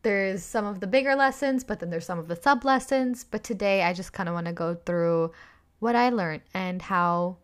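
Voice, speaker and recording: A young woman hosting her own podcast, chatting enthusiastically about a book with a slightly raspy voice from screaming. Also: mid-range voice, moderate pace. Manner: enthusiastic, chatty, lots of fillers. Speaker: podcast host. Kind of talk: casual solo podcast book review.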